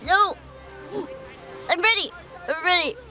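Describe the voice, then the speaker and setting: Very high, plucky little girl. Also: takes it slowly, bright, forceful tone, full character voice. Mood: feisty, determined. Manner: eager, childlike, feisty. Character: plucky, scrappy, competitive young girl. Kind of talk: scripted audio drama acting. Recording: music behind the voice.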